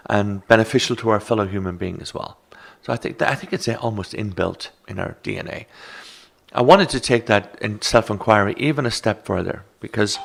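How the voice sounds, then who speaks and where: An Irish man who teaches meditation, explaining mindfulness in a calm, gently instructive conversational way. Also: on the low side, gravelly. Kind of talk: reflective conversation.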